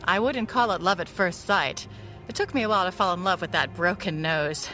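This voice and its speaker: A woman's voice: lowish voice, fairly quick, pushed and bright. A woman acting a wistful, guarded veteran ally of a vigilante in a pulp audio drama, speaking sincerely and reflectively. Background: music.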